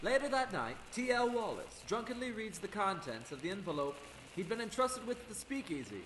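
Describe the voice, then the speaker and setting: High, echoey radio narrator. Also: male voice, big pitch swings, fairly quick, loud music behind the voice. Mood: ominous. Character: dramatic old time radio narrator. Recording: echoey, faintly noisy.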